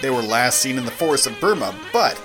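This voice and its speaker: Comic-book newscaster, man acting, lively bulletin delivery, music bed. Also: mid-range voice, a little fast, pitched below the performer's own voice, close to an everyday voice. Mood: brisk, official. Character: news reporter covering a superhero race. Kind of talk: comic-book dramatic reading of a news bulletin.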